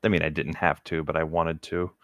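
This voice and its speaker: A man's voice: rumbling low, level tone, fairly quick, fairly bright tone, no room sound. A man co-hosting a comedic read-along, reading a book aloud and breaking off into casual joking commentary.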